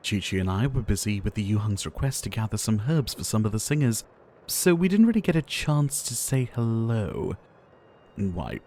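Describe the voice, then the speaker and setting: Man acting as a gentle ailing pharmacist, low voice, courteous, wistful, soft theatrical ASMR roleplay, noisy ambience behind. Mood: chatty, caring. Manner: soft-spoken, theatrical, polite, with sighs.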